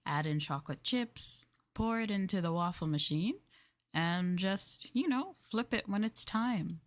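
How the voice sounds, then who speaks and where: A young woman streamer in a maid roleplay, chatting in a polite, formal way, giggling and dropping into soft asides. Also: fairly low pitch. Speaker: VTuber streamer.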